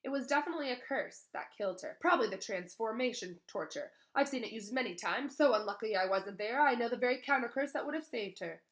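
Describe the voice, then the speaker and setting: A woman's voice: on the high side, expressive, fast talker, few pauses, a bit bright, pitched above the performer's own voice. A woman voicing a vain, self-important male wizard teacher in a storybook, pompous and always showing off. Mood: boastful.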